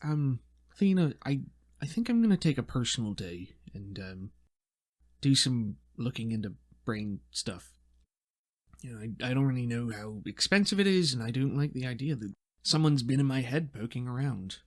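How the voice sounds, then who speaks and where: A man voicing an anxious woman in a tabletop game, hesitant, unsure and halting. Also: medium pitch, big pitch swings, lightly acted. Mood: worried, hesitant.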